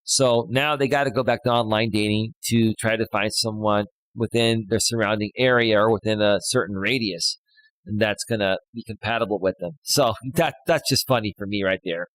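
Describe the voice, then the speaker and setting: A man in his thirties with a loud voice, hosting a casual live stream as a life coach, chatty and full of fillers, often tagging on "right?". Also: fairly low pitch, normal speed, no room sound, low-quality recording. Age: 30s. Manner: chatty, filler-heavy, keeps adding "right?". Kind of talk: casual live-stream talk, reading chat posts. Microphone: studio dynamic microphone.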